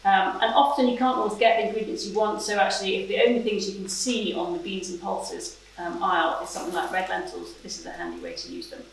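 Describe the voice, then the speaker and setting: A woman's voice: mid-range voice, expressive, fast, creaky, hardly any pauses. Female cookery demonstrator, British, middle-aged, practical and chatty; very reverberant kitchen, recorded at a distance. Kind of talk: informal cooking demonstration, explaining steps. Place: medium-sized domestic-style kitchen, indoors. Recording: echoey kitchen, distant camera mic, background noise.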